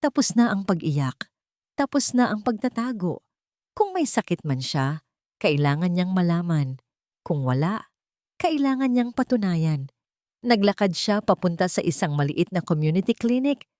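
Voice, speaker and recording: A Filipino woman narrating a dramatic story as an audiobook, in a measured storytelling manner. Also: fairly low pitch, sing-song, a little mellow, no echo, clean studio sound.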